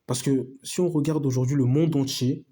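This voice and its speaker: A man's voice: medium pitch, fairly even intonation, moderate pace, warm tone. A man giving a casual opinion monologue to his viewers, emphatic and repetitive, full of slang and asides to his friends. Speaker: video host.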